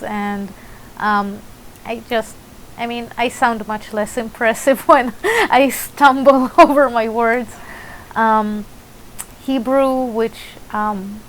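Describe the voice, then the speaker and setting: Young woman, Israeli accent, slow and hesitant delivery with lots of fillers, faint background hiss. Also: a little high, fairly even intonation, rough recording. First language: Russian. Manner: hesitant, many fillers and restarts, light laughter. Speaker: vlogger. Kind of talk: casual vlog monologue.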